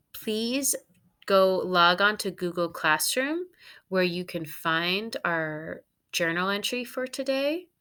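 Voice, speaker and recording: Woman, teacher reading aloud to young students. Expressive and instructive, unhurried pace. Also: fairly low pitch, clean tone. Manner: expressive, instructive, addressing children. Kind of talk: read-aloud storytelling for students.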